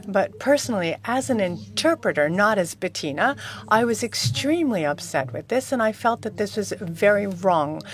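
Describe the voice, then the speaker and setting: A woman interprets a panel discussion live, speaking formally and evenly at a middle pitch. She relays each speaker in the first person from a booth microphone, with a measured, careful delivery. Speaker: conference interpreter.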